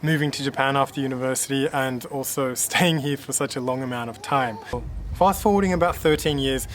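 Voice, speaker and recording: Casting: man, late 20s, from Australia, casual vlog delivery, average pitch, lots of "uh", faint background hiss. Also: fairly quick. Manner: relaxed, rambling, many "uh" fillers and restarts. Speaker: vlogger. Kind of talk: casual talk to camera.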